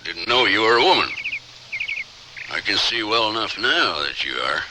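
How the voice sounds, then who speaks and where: An actor playing a gruff, brooding, scarred mountain outlaw, terse and guarded, in an old radio drama. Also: male voice, lowish voice, big pitch swings, normal speed. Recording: very noisy old radio recording.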